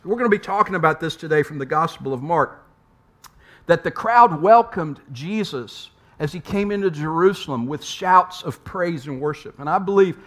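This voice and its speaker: A man from the United States preaches in a conversational, unhurried sermon voice. His pitch sits a little on the high side for a man, and he leans on rhetorical questions and restarts words as he builds his point. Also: a bit bright. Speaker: pastor.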